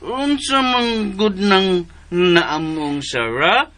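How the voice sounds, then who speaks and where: An actor in a radio drama playing a slow-minded, childlike, eager young man who stammers and labors over his words. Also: sits high, pushed and bright, full character voice. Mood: flustered, eager. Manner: halting, repeating syllables.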